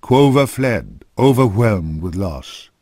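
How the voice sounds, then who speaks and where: A man narrating a scripted dark fantasy game story in a grave, measured storytelling manner. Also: fairly low pitch, big pitch swings, no room sound, studio quality.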